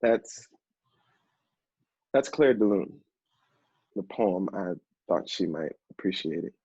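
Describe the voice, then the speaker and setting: An actor playing an earnest, emotional man who knows addiction firsthand, speaking haltingly in a stage play. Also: low voice, lively intonation, darkish tone, breathy edge, heavily acted. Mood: shy, tender. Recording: roomy, dull stage recording.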